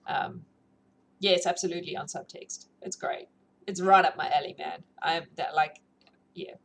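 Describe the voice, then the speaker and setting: A woman's voice: lowish voice, steady tone, normal speed. A woman with a mixed South African, British and New Zealand accent chats informally and gigglily as the host of a book-reading livestream. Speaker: livestream host who reads novels aloud. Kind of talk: casual livestream chat with viewers. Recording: clearly noisy room ambience.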